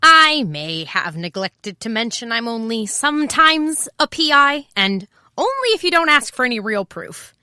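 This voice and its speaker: An actress playing a wry, impulsive private eye, talking in a sardonic, confiding way in an audio drama. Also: female voice, on the high side, big pitch swings, measured pace, hardly any pauses, pushed and bright, dry recording, clean studio sound, heavily acted. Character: wry, impulsive female private eye, over twenty-seven. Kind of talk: first-person noir audio drama narration and dialogue.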